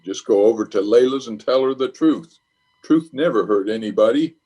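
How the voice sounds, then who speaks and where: A man acts out a blustering middle-aged commissioner from radio comedy. He is pompous and easily exasperated, hemming and hawing with a very animated, swooping melody. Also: mid-range voice, moderate pace, close to an everyday voice, low-quality recording. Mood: flustered. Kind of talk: old-time radio comedy acting.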